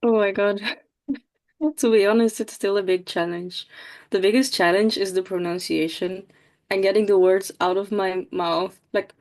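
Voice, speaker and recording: Dutch-native lady co-host, chill and laughy, normal pitch. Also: fairly even intonation, moderate pace, darkish tone. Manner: laughing, candid, easygoing. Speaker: English teacher and co-host. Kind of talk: casual chat.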